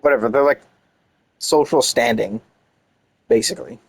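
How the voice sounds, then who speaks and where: A man's voice: medium pitch, moderate pace, gravelly, husky, rough recording. A man giving casual, informal commentary on a video game as he plays, rambling and searching for words. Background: game music.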